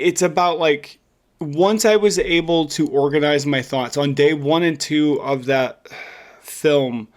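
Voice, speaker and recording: A man with a voice a little higher than usual chats casually as a podcast co-host. He is informal and encouraging, like someone cheering on a friend. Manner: encouraging, informal, says "dude" often. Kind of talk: casual chat.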